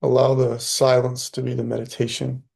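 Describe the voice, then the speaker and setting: A man leading a guided meditation, speaking softly and slowly with long pauses between phrases. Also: mid-range voice, monotone, creaky, husky. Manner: slow, soft, long pauses.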